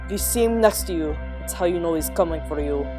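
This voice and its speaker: A woman voicing a huge man who tells a creepy story in a hushed, menacing way in a fanfic reading. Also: lowish voice, fast, music in the background, natural voice. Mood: ominous.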